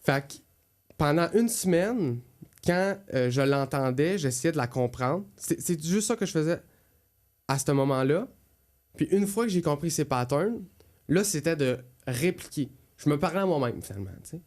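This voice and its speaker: A man's voice: fairly high pitch, expressive, moderate pace, darkish tone. A man with a Quebec French accent co-hosting a podcast, talking casually and candidly about himself in colloquial words. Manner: candid, colloquial, acts out inner thoughts. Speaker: podcast co-host. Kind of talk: casual conversational personal testimony.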